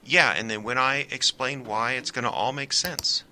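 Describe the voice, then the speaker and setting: A man's voice: medium pitch, fairly even intonation, moderate pace, bright, forceful tone. A man playing a nervous, placating friend in an audio drama, hesitant and stammering but earnest. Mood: urgent, soothing. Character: nervous, placating male friend withholding a secret. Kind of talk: naturalistic audio drama acting.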